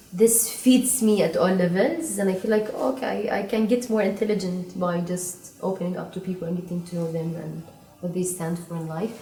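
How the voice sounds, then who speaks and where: A woman's voice: medium pitch, warm tone. A woman sharing a personal reflection thoughtfully, often searching for words. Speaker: workshop participant. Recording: echoey room, distant mic.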